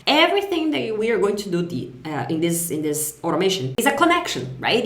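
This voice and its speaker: Tutorial presenter: woman, Brazilian accent, native Portuguese, slightly low pitch, conversational and explanatory, echoey room sound. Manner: conversational, explanatory, checks in with "right?" and "okay?". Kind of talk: casual step-by-step software tutorial.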